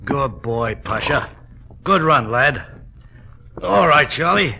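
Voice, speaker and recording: An actor playing an honest, plain-spoken working-class English jockey in a 1940s radio drama, earnest and colloquial. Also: male voice, medium pitch, expressive, slow pace, vocal fry. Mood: cheerful, wary. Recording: very noisy old radio recording.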